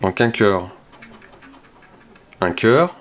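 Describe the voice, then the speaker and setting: A man slowly works through scratch cards, reading each number aloud in a low, unhurried voice. He mutters softly and checks line by line, with the scratch of the coin behind him. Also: expressive. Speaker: scratch-card video host. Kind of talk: casual play-by-play while scratching tickets.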